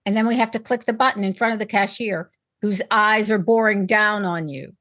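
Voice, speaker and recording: A woman's voice: mid-range voice, fairly quick, a bit bright. A woman hosting a panel talk show, delivering commentary and discussion in an opinionated, emphatic way.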